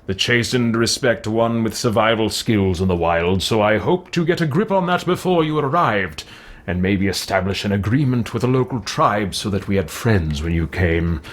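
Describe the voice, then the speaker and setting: A man reading aloud as a loving father writing to his son in a video game, with measured, deliberate phrasing. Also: lowish voice, voice pushed down, close to an everyday voice.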